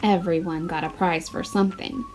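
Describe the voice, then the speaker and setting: A woman's voice: on the low side, wildly swooping pitch, moderate pace, darkish tone, soft background music. A woman, a first grade teacher, reading a picture book expressively and putting questions directly to young children.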